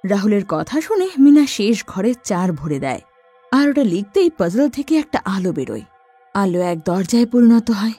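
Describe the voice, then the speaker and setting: A woman narrating a cartoon horror story with a lively, rising and falling pitch. Her tone is warm and slightly husky. Soft music plays faintly behind her. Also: moderate pace. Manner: expressive storytelling.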